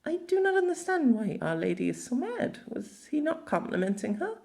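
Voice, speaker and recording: A man's voice: very high voice, sing-song, normal speed, vocal fry, darkish tone, voice pushed up, heavily acted. A man voicing a shy, naive young manservant boy in a fantasy audiobook, hesitant and muttering. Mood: puzzled.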